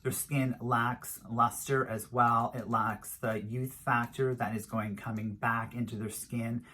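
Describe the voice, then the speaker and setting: A man's voice: medium pitch, flat delivery, pushed and bright, a little hiss or hum. Middle-aged man, emphatic beauty advisor. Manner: emphatic, repetitive, drawn-out stress on key words. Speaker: beauty vlogger and skincare advisor. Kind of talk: informal product review to camera.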